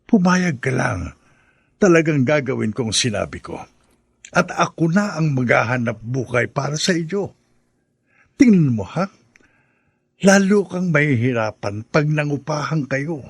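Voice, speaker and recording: Husky, pushy middle-aged suitor. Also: male voice, a little high, sing-song, unhurried, long pauses, dry recording, studio quality, heavily acted. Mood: coaxing, insistent. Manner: insistent, coaxing. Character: persistent, pushy middle-aged suitor courting a struggling mother. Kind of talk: Filipino radio drama acting.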